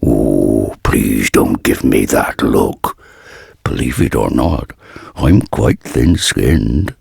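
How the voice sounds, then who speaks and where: Kindly old blacksmith, dark fantasy game. Extremely deep, gravel-throated and husky, archaic folksy speech, recorded close and dry. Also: male voice, no echo, heavily acted. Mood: sheepish. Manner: slow, archaic, folksy phrasing. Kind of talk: dark fantasy video game character dialogue.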